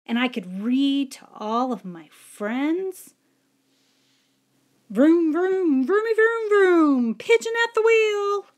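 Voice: extremely high pitch, animated, takes it slowly, voice pushed up, full character voice. A woman voicing a mischievous storybook pigeon who pleads and wheedles with dramatic outbursts. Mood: gleeful. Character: pleading, mischievous young male pigeon scheming to be librarian.

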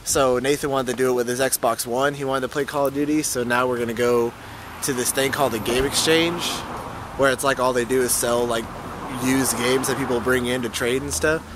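A young man in his twenties chats casually into a handheld camera for his daily vlog. He rambles and laughs a lot, and his voice sits at an ordinary middle pitch. Loud street and traffic noise runs behind him. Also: steady tone, brisk. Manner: chatty, rambling, laughs often. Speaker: vlogger. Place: outdoors in city streets and parking lots, also in a car and at home. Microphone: handheld camera at arm's length.

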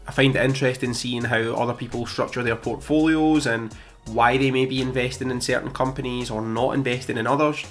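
A young British man with a slightly croaky voice after illness, steadily reading out his investment figures to camera from a small home room. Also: medium pitch, fairly even intonation, a little fast, fairly bright tone, soft background music. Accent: British English. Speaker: personal finance YouTuber. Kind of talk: scripted portfolio update to camera. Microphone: studio mic in front of him.

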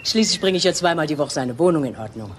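An actress playing a chatty, nosy housekeeper in a German radio crime drama, talking colloquially. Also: female voice, sits low, expressive, quick pace, a little hiss or hum. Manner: colloquial, talkative.

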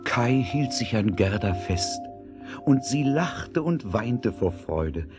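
Low voiced man telling a fairy tale, slow and expressive, loud music under him. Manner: measured, expressive storytelling. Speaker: narrator. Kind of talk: scripted fairy-tale narration.

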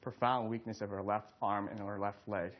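A man's voice: on the low side, little pitch movement, moderate pace, muffled recording. A man explaining things in an interview as a neurologist. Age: 30s. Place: small furnished indoor room.

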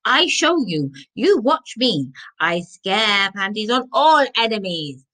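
A woman's voice: medium pitch, sing-song, slow pace, voice pushed up, full character voice. Woman acting a roaring, boisterous Viking man. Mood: fierce, boastful. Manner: broken English, roaring and shouting. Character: boisterous, fierce Viking warrior man, childishly gleeful.

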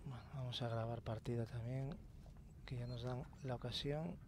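A relaxed man talks over a game at a middle pitch, muttering to himself and swearing now and then. Noticeable game noise sits behind his voice. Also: normal speed. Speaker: gameplay commentator. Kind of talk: casual gameplay commentary.

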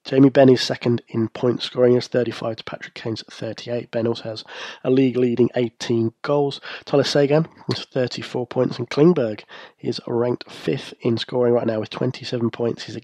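A man hosting a sports podcast talks through the week's news on his own, steady and analytical. His voice is snuffly and croaky from a cold, sitting in the middle of the male range. The recording is close and dry, with no room sound. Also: medium pitch, no echo. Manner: measured, analytical, occasional fillers. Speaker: podcast host. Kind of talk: solo hockey news commentary.

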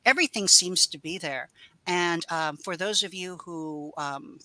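A woman's voice: fairly low pitch, measured pace, sharp tone. A middle-aged woman author speaking in a home room over a video call, thoughtful and rambling with many fillers and restarts. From United States. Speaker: author guest. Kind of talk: casual video-call interview.

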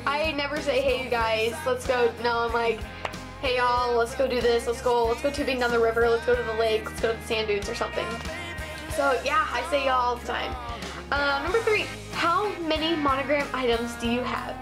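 A woman's voice: fairly high pitch, moderate pace, music in the background. Bubbly young woman with a Southern Ohio twang. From Midwest United States. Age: young adult. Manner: bubbly, gushing, chatty with many ums and likes. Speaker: vlogger. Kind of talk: casual chat to camera. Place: small bedroom indoors. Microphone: built-in webcam mic.

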